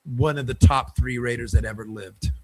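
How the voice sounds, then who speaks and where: A man's voice: medium pitch, steady tone, moderate pace, a little mellow, slightly rough, dry recording, rough recording. An older man co-hosting a casual sports chat, hesitant and repeating words as an enthusiastic fan. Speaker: podcast co-host.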